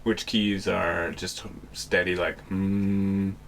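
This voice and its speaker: A man's voice: low voice, level tone, takes it slowly, a bit bright, a little hiss or hum. A young man hosting a podcast, giving rambling, casual explanations and often searching for words. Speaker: podcast host. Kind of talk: casual chat.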